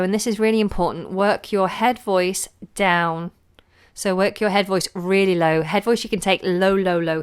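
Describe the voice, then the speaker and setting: A woman vocal coach giving chatty live feedback, with a low voice and a lingering cold. She talks loosely, with fillers and lost words. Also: smooth. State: recovering from a cold. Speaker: singing teacher.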